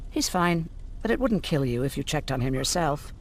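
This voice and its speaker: A woman's voice: low voice, animated, moderate pace, a little hiss or hum. An actress playing a self-assured, caring resistance woman in a video game, with a wry, folksy, familiar way of talking. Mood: concerned, frank.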